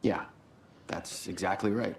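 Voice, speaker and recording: An actor playing an affable, folksy small-town sheriff in a game cutscene. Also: male voice, deep voice, fairly even intonation, unhurried, gravelly, slight background noise. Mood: friendly.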